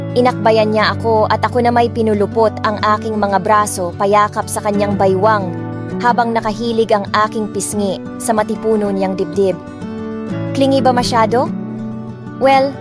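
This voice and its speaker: A woman's voice: medium pitch, natural voice. A young woman playing a lovestruck heiress, narrating her own romance story in an emotive, dramatic way. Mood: tender. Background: music.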